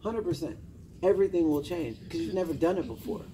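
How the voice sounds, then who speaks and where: A man's voice: on the high side, lively intonation, fast, dark tone. A man in his thirties giving informal motivational coaching to a small group, emphatic and directive, with short commands and questions. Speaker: personal development coach. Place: indoor lounge, seated among a small group. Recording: noisy room with ambient hum.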